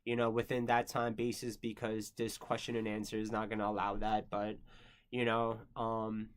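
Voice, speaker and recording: Rambling man in his thirties, flat delivery. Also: on the low side, normal speed, clear, resonant tone. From Philippines. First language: not English. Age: 30s. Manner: rambling, filler-heavy, frequent "you know" and "um"; frank, casual swearing. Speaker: creator answering viewer questions. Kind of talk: casual personal monologue.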